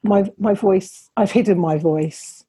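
A woman's voice: mid-range voice, slow pace, warm tone, slightly rough, no room sound. A middle-aged woman, an academic guest, speaking thoughtfully and measuredly in conversation, often restarting her sentences. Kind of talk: reflective interview conversation.

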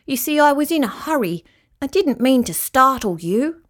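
Woman as storybook sea rat, high voice, lively swings, earnest, apologetic, wondering. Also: normal speed. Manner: earnest, apologetic, easily puzzled. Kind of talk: children's storybook character voice.

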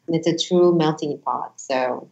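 Deep-voiced woman from uzbekistan, russian native speaker, earnest guest, echoey dull audio. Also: a little slow. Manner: earnest, animated storytelling. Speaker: guest, former attorney. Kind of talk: casual interview chat.

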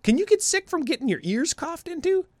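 A man's voice: very high-pitched, very animated, brisk, darkish tone, no echo. A man co-hosting a casual podcast, upbeat and laughing often, his voice a bit scratchy from a cold. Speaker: podcast co-host. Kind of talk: casual chat.

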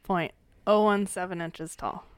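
A playful woman co-hosts a true-crime podcast, chatting and joking as she leads the case narration. Her voice is smooth and resonant. It is recorded close and dry. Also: lowish voice, fairly even intonation, measured pace, no room sound. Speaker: podcast co-host. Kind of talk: casual true-crime chat.

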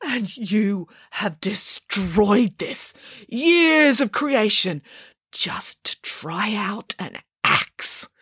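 Storybook pig lady, voiced by a woman, high and lofty, slow and solemn, lively swooping pitch, dry close sound. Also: no echo, heavily acted. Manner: slow, high, theatrical, drops to breathy whispers. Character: grief-stricken female pig, dramatic and lofty.

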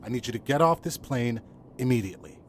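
An actor playing a stern federal drug agent in an audio drama, clipped and insistent with formally polite commands. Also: male voice, mid-range voice, animated, fast talker, slight background noise, close to an everyday voice. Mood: stern, impatient.

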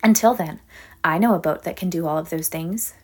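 A woman voicing a patient, loving mother duck who speaks in a gently teasing, fond way for a storybook. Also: on the low side, brisk, husky, soft background music.

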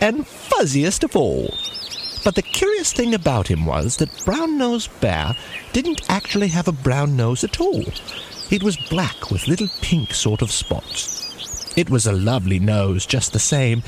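Genteel storybook narrator acted by a man, whimsical and theatrical, very wide swings in pitch, over clear background noise. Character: genteel male storyteller of a parody children's story time.

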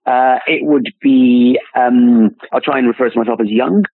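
A man's voice: mid-range voice, fairly even intonation, moderate pace, no room sound. A man being interviewed as a classic car dealer, rambling with many restarts and repeated words. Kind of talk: casual interview chat. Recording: tinny phone-line audio, dry.

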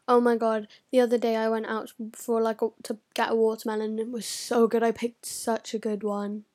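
A woman's voice: fairly high pitch, little pitch movement, brisk, darkish tone. A teenage girl, rambling casually to camera, swearing a lot and dropping into whispered asides. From United Kingdom. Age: teens. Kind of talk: casual vlog chat.

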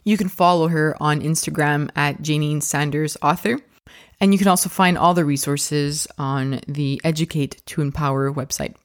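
A woman's voice: sits low, steady tone, normal speed. A woman hosting a parenting science podcast, reading the intro and sponsor thanks, then opening the interview with enthusiastic questions. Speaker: podcast host.

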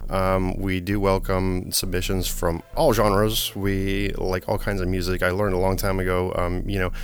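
Deep-voiced middle-aged DJ man over music. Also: flat delivery, moderate pace. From Poland. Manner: rambling, many "um" and "you know" fillers. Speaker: radio DJ host. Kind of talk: casual radio show chat between tracks. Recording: music bed under the voice. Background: music playing underneath.

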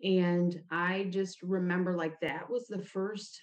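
Lady, pretty low voice, chatting about herself, says like a lot. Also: steady tone, slow pace. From United States, grew up in Ohio. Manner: informal, frequent "like" as a verbal habit. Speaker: podcast guest. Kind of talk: conversational personal storytelling in an interview.

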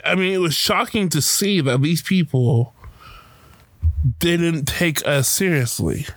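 A man telling a personal story about a protest in a casual, halting way, with many fillers and restarts. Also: fairly high pitch, dry recording. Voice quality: loud voice.

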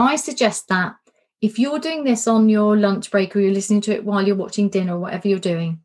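A middle-aged woman talks through a workshop in a relaxed, candid way, often repeating words for emphasis. Her voice sounds a little worn, as if she's starting to lose it. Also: medium pitch. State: slightly losing her voice. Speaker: coach and podcast host. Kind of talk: casual spoken workshop talk.

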